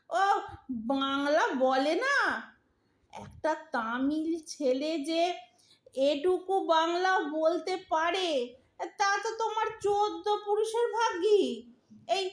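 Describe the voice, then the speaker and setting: A woman playing an exasperated, sharp-tongued young married daughter in an audio drama, bossy and impatient, with a Bengali accent. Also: extremely high pitch, expressive, takes it slowly, pauses often, exaggerated voice. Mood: hurt. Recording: roomy, echoing audio drama recording.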